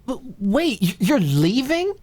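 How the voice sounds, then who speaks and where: A woman's voice: a little high, very animated, slow pace, mellow, voice pushed up, exaggerated voice. A woman voicing a glum, timid young woman in an audiobook drama, evasive and hesitant, stammering her excuses. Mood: pleading.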